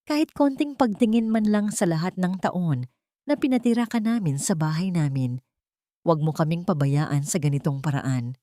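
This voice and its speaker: A woman's voice: lowish voice, lively intonation, normal speed, warm tone, dry recording, clean studio sound, natural voice. Woman acting a desperate, pleading old matriarch. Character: desperate, pleading old scheming mother-in-law.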